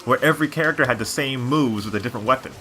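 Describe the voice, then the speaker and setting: A man's voice: medium pitch, very fast, pushed and bright, soft background music. A man doing casual gameplay commentary as a co-host, rambling and opinionated like a devoted fan. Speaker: gaming video co-host.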